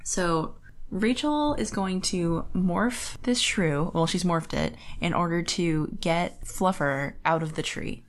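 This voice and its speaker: Woman podcast cohost with a low voice, casual book chat, says like a lot. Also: takes it slowly. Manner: informal, filler-heavy with frequent "like". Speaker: podcast co-host.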